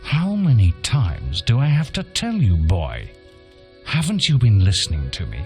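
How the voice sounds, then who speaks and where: Fantasy game trainer: stern, condescending taskmaster, very animated pitch, slow commanding delivery. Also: male voice, soft background music, exaggerated voice. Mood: scolding. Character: stern male guild instructor in a fantasy game.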